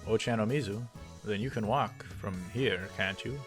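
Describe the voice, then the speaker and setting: A man's voice: on the low side, moderate pace, faint music behind, close to an everyday voice. A man voicing a nosy, theatrical young man while reading a visual novel. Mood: needling.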